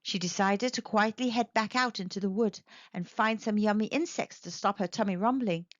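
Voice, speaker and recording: A woman storyteller reading a children's picture book aloud with expressive narration. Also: mid-range voice, moderate pace, dry recording, muffled recording.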